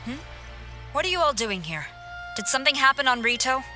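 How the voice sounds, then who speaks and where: Composed, upright military commander. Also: female voice, sits high, sing-song, fairly quick, pushed and bright, soft background music, exaggerated voice. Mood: questioning. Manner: formal, composed, authoritative. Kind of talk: video game cutscene acting.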